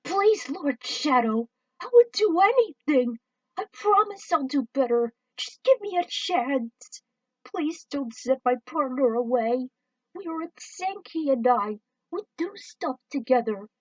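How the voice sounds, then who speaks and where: A woman's voice: high-pitched, expressive, takes it slowly, dry recording, far from the mic, pitched above the performer's own voice, rough recording. A woman doing a whiny, pleading little alien. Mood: begging.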